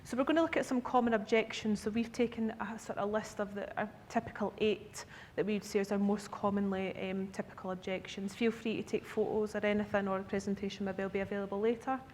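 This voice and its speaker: A woman in her thirties with a Scottish accent gives a talk at a conference, chatty and relaxed, regularly checking in with the room. Her voice sits at a middle pitch and carries a long reverb, with a faint low rumble underneath. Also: quick pace, few pauses. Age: 30s. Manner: chatty, checks in with the audience with "okay?". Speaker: key account manager presenting to advisers. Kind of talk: conference presentation. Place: conference hall. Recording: echoey hall with faint rumble.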